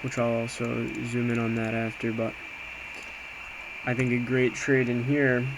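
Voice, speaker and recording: Even-toned man talking casually over steady noise. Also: mid-range voice, measured pace. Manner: informal, conversational, hesitant, self-reflective. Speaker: amateur day trader recapping trades. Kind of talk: casual screen-share trade recap commentary. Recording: clear steady background noise.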